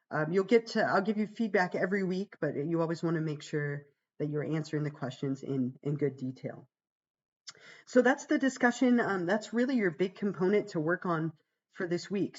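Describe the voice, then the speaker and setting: A woman psychology instructor, hoarse and gravelly from being sick, walking students through a course page in a chatty, reassuring way with many "um"s. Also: darkish tone, highs cut off. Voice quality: gravelly, deeper than usual. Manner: chatty, reassuring, fillers like "um" and "right?". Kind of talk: casual livestream course walkthrough.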